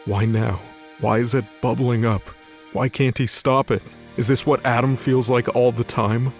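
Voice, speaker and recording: A man's voice: mid-range voice, steady tone, a little slow. A man reading scripted narration for an audio drama in a dramatic storytelling style. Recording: faint music behind the voice.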